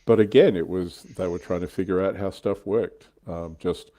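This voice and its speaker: A man with a very low, soft-edged voice hosts a relaxed hobby livestream. He talks casually and wryly, dropping in blunt slang and dry jokes as he comments. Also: moderate pace. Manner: wry, joking, blunt slang. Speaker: livestream panel host.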